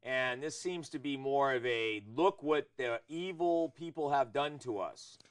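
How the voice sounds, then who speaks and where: A man's voice: medium pitch, measured pace, smooth. American man talking politics, middle-aged. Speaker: political talk show host. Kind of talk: opinionated political discussion.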